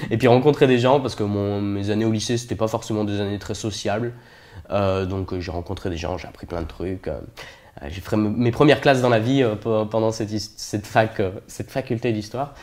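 A man's voice: on the low side, steady tone, brisk, darkish tone. Young Frenchman, jokey and self-deprecating. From France. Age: 20s. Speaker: interview guest. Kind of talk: casual conversational interview.

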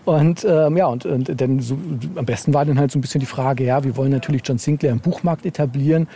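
A man's voice: mid-range voice, quick pace, mellow, slightly rough. A man chatting casually as an interviewed author, rambling and often tagging phrases with a questioning filler. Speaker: interviewed novelist. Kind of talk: casual podcast interview chat.

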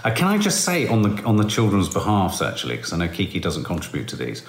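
Man co-host, animated swooping voice, roomy echo. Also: deep voice, fast talker. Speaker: podcast co-host. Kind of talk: casual podcast chat.

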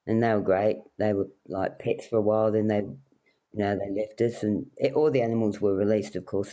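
A woman's voice: rumbling low, mellow. A middle-aged woman from rural New South Wales with an Australian accent, telling casual anecdotes as an interview guest. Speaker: podcast guest, wildlife carer.

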